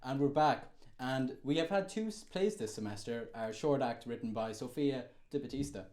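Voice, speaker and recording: A man's voice: mid-range voice, animated, moderate pace, a little mellow. A man co-hosting a show, presenting scripted links. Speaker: showcase co-host.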